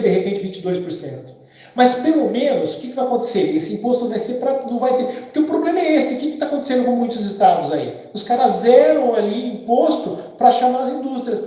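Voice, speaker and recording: A man's voice: high voice, dark tone. A man, hosting a casual live stream and chatting with viewers by name in a rambling, opinionated way. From Brazil. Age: 50s. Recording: very echoey room.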